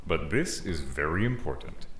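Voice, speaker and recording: Gentle telepathic persuader, lowish voice that moves around a lot, soothing, echoey, audio drama. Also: male voice, sing-song, normal speed, slight background noise, full character voice. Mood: coaxing. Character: calm male mind-influencer, gently persuasive and polite. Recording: roomy echo, faint music behind.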